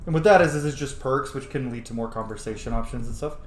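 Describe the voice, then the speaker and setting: A man's voice: mid-range voice, quick pace, echoey room, a little hiss or hum. A man giving casual, informal let's-play roleplay commentary with some swearing. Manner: informal, profane. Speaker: streamer, self-styled dungeon master.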